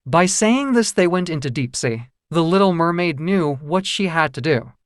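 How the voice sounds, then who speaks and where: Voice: medium pitch, animated, normal speed, dry recording, clean studio sound. A storyteller narrating a children's fairy tale from a script.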